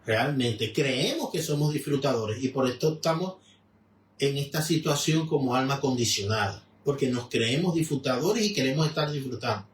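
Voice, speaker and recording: Male lecturer, calm explanatory reading, faint background noise. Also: mid-range voice, far from the mic. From Venezuela. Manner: measured, explanatory, many restarts. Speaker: religious lecturer reading and commenting. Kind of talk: spoken reading with commentary. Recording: echoey room, off-mic with faint noise.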